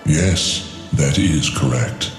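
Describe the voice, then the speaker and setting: An ancient dragon of a fantasy game, weary and fatalistic. The voice is extremely deep and gravelly, speaking slowly and solemnly in archaic words through a cavernous echo. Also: male voice, exaggerated voice. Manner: archaic, grave, deliberate speech. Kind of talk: fantasy video game voice acting. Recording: cavernous echo with music underneath.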